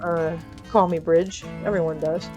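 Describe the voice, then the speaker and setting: Casting: stoic, wary male mail carrier for a comic radio tale, hesitant and deadpan, light music underneath a woman acts him. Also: lowish voice, measured pace, natural voice. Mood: uneasy. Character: wary, stoic male postal worker, awkwardly bluffing.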